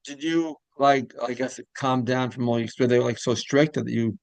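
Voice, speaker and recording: A man interviewer talks very quickly in a creaky, close and dry-sounding voice. He chats casually, rambling and circling back to rephrase what he asks. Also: medium pitch, fairly even intonation, no room sound. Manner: rambling, repeats and rephrases questions. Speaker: interviewer, show host.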